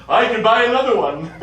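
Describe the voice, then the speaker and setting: A man's voice: very high voice, fairly bright tone, exaggerated voice. An actor playing a glib, money-hungry middle-aged male lawyer in a stage play, hemming and self-amused. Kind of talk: live stage play acting. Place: small indoor theater studio. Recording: echoey stage recording from far off, faint noise.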